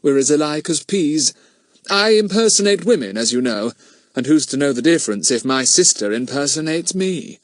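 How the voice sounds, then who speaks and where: A man's voice: on the high side, animated, moderate pace, dry recording, pitched above the performer's own voice, natural voice. A man voicing a cornered male culprit, resentful and confessing, in a storybook reading. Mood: resigned confession.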